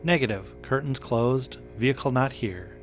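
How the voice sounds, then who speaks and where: A man reading the part of a steady male patrol officer giving brief, flat radio reports in an audio story. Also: medium pitch, moderate pace, soft background music, voice pushed up, natural voice. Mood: laconic, procedural.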